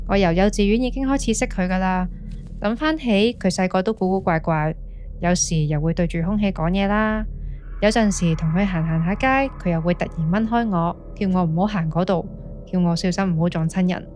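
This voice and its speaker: 女演員扮演聲線偏低嘅香港後生女. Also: female voice, lowish voice, darkish tone, a little hiss or hum, natural voice. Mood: uneasy, reflective. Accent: Hong Kong Cantonese. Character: earnest young woman recounting her friend's eerie experience. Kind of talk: scripted ghost-story monologue.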